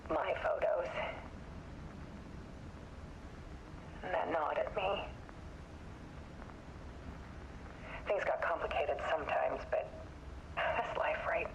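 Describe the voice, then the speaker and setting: An actress playing a haunted, weary photographer who gives a subdued, halting, somber confession in a dramatic video game monologue. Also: female voice, sits low. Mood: regretful. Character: haunted woman photographer recounting her troubled relationship, weary and bitter. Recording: dull lo-fi sound with clear noise.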